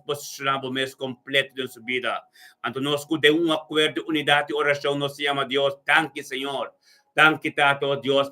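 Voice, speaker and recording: A man's voice: mid-range voice, bright, forceful tone. A man leads an extemporaneous charismatic prayer, fervently repeating his invocations in a chant-like way. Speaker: prayer leader.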